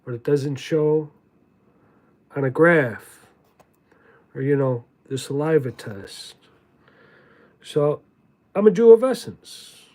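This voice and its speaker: A man giving a contemplative spiritual monologue in a halting, deliberate way, repeating words for emphasis. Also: a little high, lively intonation, a little mellow. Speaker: spiritual teacher reading from own writings.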